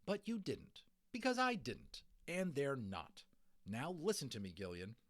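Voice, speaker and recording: A man voicing an exasperated mother, brisk and snappy, in a family storybook. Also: medium pitch, huge pitch swings, fairly bright tone, voice pushed up, heavily acted.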